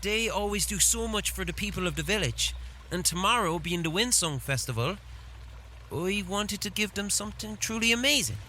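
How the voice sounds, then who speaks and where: A man's voice: high-pitched, lively intonation, normal speed, bright, forceful tone, a little hiss or hum. A man playing a polite, earnest and slightly hesitant village boy in a fantasy audio drama.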